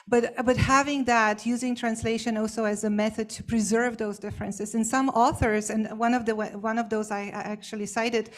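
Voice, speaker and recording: A woman's voice: on the high side, level tone, moderate pace, hardly any pauses. A woman whose first language is Bulgarian, answering a question as a symposium panelist in a hesitant, explanatory way with many fillers. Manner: explanatory and academic.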